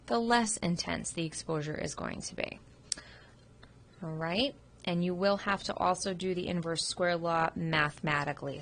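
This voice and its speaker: A woman instructor, sick and sniffling, giving an informal recorded review of a radiography test. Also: lowish voice. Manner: informal, explanatory, with frequent ums. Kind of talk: recorded test review lecture over slides. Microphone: computer microphone.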